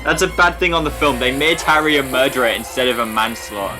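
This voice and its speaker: A man's voice: medium pitch, fairly even intonation, fast. A man giving casual, joking and sweary film commentary as co-host of a reaction show. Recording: loud film audio behind the voice. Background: film soundtrack with music.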